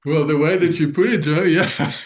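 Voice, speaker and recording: Gentle man, native Afrikaans speaker. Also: on the high side, fairly even intonation, moderate pace. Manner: gentle, earnest, laughs often. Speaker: guest. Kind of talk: reflective interview talk.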